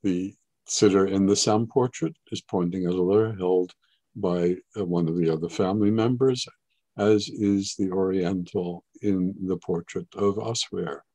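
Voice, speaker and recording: Older male art historian on a webcam: low and dry, measured, explanatory delivery. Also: steady tone, warm tone, dry recording, rough recording. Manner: measured, explanatory, occasional hesitations. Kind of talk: online gallery talk. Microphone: webcam on video call.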